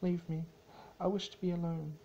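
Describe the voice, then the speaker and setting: A man's voice: fairly high pitch, little pitch movement, moderate pace, highs cut off, a little hiss or hum, pitched above the performer's own voice, lightly acted. A man voicing a grieving, wrathful elder pony princess in a bitter, anguished manner for a story reading. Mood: weary, withdrawn. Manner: bitter, anguished, whispering to shouting.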